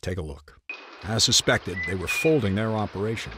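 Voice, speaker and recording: A man acting as a composed, brisk military commander giving clipped, authoritative briefings in a video game cutscene. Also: on the low side, fairly bright tone, a little hiss or hum, natural voice. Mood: grave, businesslike. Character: composed, brisk military intelligence commander running covert operations.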